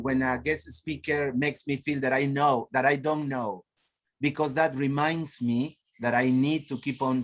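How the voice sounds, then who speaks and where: A man from Peru with a Peruvian accent, hosting an online teachers' meeting, halting and repeating his words. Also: mid-range voice. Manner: slow and halting, repeats words, laughs often. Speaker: host. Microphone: video call.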